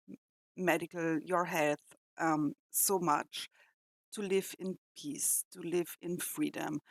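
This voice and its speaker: A woman from Austria with an Austrian German accent and German as her first language, talking haltingly as a reaction host and pausing to search for words. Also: on the low side, no room sound. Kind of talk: casual reflective commentary.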